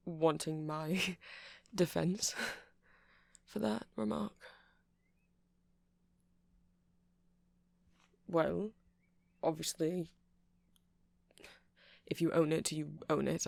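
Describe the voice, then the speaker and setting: A man performs a shy, nerdy male vampire who works in a music shop. He rambles slowly in a gentle, creaky voice, full of hesitant apologies. It is an intimate ASMR roleplay, recorded close and very dry. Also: on the high side, dry recording. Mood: earnest, passionate. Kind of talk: ASMR roleplay, soft casual monologue to listener.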